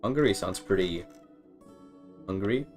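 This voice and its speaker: A man's voice: deep voice, animated, normal speed, soft background music. A man giving casual live gameplay commentary, rambling and repeating words as he thinks aloud. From Canada. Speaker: game streamer.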